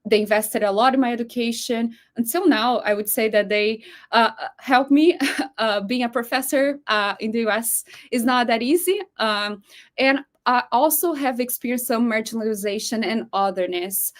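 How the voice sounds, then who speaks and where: Brief: Brazilian-accented woman, Portuguese native, chatty lecturer on a video call, fairly high voice. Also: moderate pace. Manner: warm, chatty, frequent fillers and laughs. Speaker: guest speaker, professor. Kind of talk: webinar lecture.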